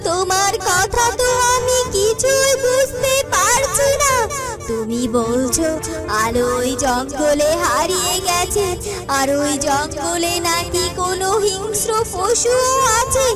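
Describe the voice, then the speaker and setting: A woman's voice: very high-pitched, animated, full character voice. A woman playing an anxious, protective serpent queen in a fairy-tale cartoon, with a fretful, dramatic delivery. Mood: bewildered. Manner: fretful, dramatic, drawn-out questioning. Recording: very loud music under the voice.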